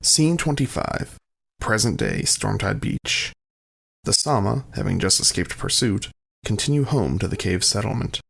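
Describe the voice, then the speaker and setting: A man with a gravelly voice narrating a scripted audio drama. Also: lowish voice, lively intonation, a little slow, fairly bright tone.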